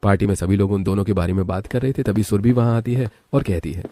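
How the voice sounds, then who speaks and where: A man's voice: fairly low pitch, fairly even intonation, quick pace, dark tone. A man reading scripted narration for a story.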